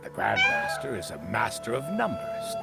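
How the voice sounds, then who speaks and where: An actor playing a bitter, hard-nosed senior craftsman in a video game, speaking in a scornful, deliberate, theatrical way. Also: mid-range voice, huge pitch swings, gravelly, over loud music, heavily acted. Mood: cold, bitter. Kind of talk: dramatic video game voice acting.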